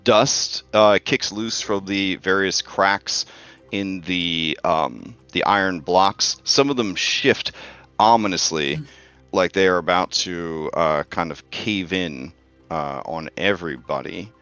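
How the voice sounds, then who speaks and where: Bright-voiced man in his forties running a game. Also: low-pitched, slow, clear, resonant tone, dry recording, faint music behind. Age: 40s. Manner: chatty, joking, many fillers. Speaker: game master. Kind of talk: narrating a tabletop game online.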